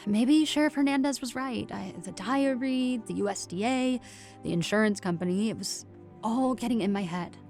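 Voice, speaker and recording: A woman's voice: fairly high pitch, normal speed, darkish tone, faint music behind, close to an everyday voice. An actress playing a stubborn, wounded young farm woman in a naturalistic audio drama, sardonic and determined. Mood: brooding. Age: young adult. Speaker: lead actor in audio drama. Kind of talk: naturalistic audio drama acting with inner narration.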